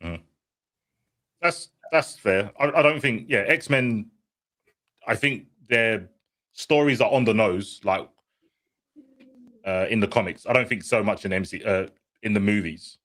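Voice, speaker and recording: A man with a somewhat low voice, speaking as a guest in a relaxed panel chat. His points are short and blunt. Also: unhurried, long pauses.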